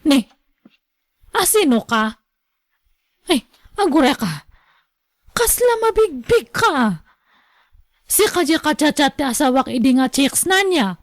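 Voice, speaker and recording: An actress with an Ilocano Filipino accent playing a young, dutiful but fiery wife in a radio drama. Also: female voice, sits high, big pitch swings, slow, pauses often, no echo, clean studio sound, heavily acted. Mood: suspicious.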